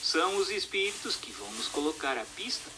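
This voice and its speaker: A man's voice: high-pitched, lively intonation, fairly quick, noisy background. A man with a Brazilian accent reading a formal, didactic text aloud as scripted narration.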